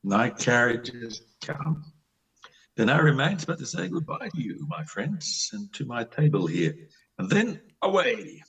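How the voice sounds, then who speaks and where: A man playing a restless, wistful middle-aged country doctor in an amateur play reading, husky and theatrical. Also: medium pitch, sing-song, a little slow, a bit bright, exaggerated voice. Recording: slightly distant microphone.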